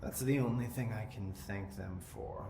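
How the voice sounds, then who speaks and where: A man playing a detached, unsettling possessed young man in a video game, speaking deliberately with eerie calm and buried bitterness. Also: fairly low pitch, vocal fry. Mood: quietly bitter. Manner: slow, deliberate, eerily calm. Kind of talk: video game cutscene acting. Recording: echoey room, faint background noise.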